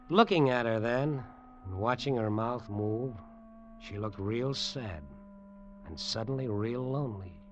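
An actor playing a tough, bitter American crook with a clipped noir delivery in a 1940s radio drama. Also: male voice, mid-range voice, fairly bright tone, natural voice. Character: hard-boiled crook Joe, cornered, bitter, tough. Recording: muffled, noisy old radio recording.

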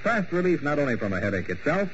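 A man's voice: fairly high pitch, sing-song, fast, fairly bright tone. Sly wisecracking man hosting. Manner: sly, mocking, punning with grim jokes. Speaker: program host and announcer. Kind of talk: 1940s radio hosting. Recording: muffled old radio broadcast with faint hiss.

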